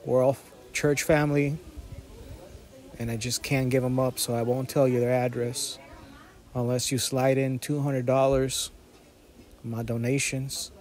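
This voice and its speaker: Deadpan man with a flat delivery. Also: medium pitch, a little slow, long pauses. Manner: deadpan, jokey. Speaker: food vlogger. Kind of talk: narrated vlog voice-over, casual storytelling.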